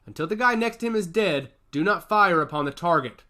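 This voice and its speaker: Picture a blunt soldier commander giving brisk orders in a raised, lively voice, as a man reads him out. His tone is bright and forceful, and he keeps a lot of movement in his pitch. It sounds like a character voice in a read-aloud story. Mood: commanding. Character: commanding male soldier squad leader, blunt. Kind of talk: audiobook character voice.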